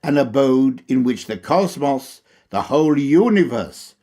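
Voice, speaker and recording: A male narrator reads a formal lecture at a slow, deliberate pace. His pitch moves widely as he sets out each phrase with measured care. The delivery is scripted and instructive. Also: expressive, warm tone. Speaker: reader of a lecture.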